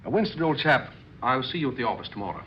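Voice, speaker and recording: An actor playing a courteous, solicitous gentleman in an old-time radio drama, genteel and chummy. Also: medium pitch, big pitch swings, fast, natural voice. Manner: genteel, chummy, hesitant with polite ums. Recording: muffled, noisy old radio recording.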